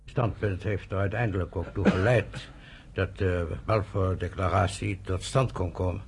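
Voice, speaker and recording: An actor playing a formal, deliberate, legalistic statesman in a Dutch radio play. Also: on the low side, slight background noise. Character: measured, legalistic senior statesman, a doctor.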